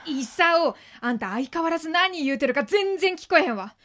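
A woman's voice: high voice, animated, fairly quick, fairly bright tone. A woman with a Kansai accent playing a blunt, short-tempered, scolding gym accountant in a radio drama. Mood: scornful. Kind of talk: Japanese audio drama acting.

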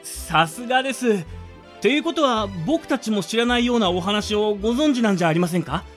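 Man acting chatty, high-voiced, excitable talking train strap. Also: a little fast, faint music behind. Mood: starstruck. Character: friendly chatty male train hand strap, excitable senior colleague. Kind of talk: comic amateur radio drama acting.

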